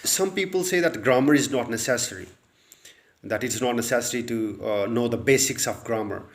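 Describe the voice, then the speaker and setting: A man's voice: mid-range voice, normal speed. A man from Pakistan with a Pakistani accent, a native Urdu speaker, giving earnest English-learning advice with frequent "uh" fillers and repeated points. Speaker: English tutor. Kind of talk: advice talk to camera.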